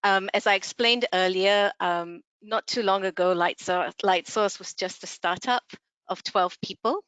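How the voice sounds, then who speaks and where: A woman's voice: mid-range voice, a little slow, a bit bright, clear, resonant tone, no echo. A woman from Malaysia, a communications head, giving an upbeat, friendly webinar presentation over a video call. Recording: dull video call audio, dry.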